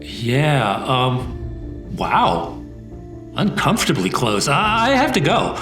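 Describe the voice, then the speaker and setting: A bewildered science professor in a sci-fi thriller. The delivery is slow, hesitant and incredulous, with extremely mobile pitch. The sound is roomy, with faint music behind. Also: big pitch swings, a bit bright. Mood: guarded, unsettled. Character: bewildered, skeptical science professor caught in danger. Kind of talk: action sci-fi film acting.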